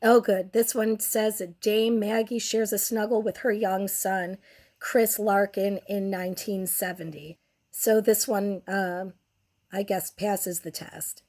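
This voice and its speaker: A woman's voice: medium pitch, flat delivery, darkish tone. A young woman with a cold and a nearly lost voice, hosting a casual commentary show in a crude, sardonic way. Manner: crude, sardonic, slow and drawn out. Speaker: host.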